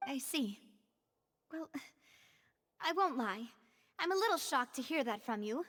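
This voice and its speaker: High-voiced, genteel young lady boss. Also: measured pace, long reverb tail. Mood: wistful. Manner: polite, flowery, expressive phrasing. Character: genteel young woman boss, friendly, wistful, theatrical. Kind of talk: anime video game voice acting.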